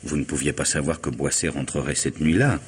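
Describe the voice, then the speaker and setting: Wary blackmail target, deep voice, husky, measured, restrained, radio mystery play. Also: male voice, fairly even intonation, full character voice. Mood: sceptical. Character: wary, guarded man bargaining with his blackmailer. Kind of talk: French radio mystery drama acting.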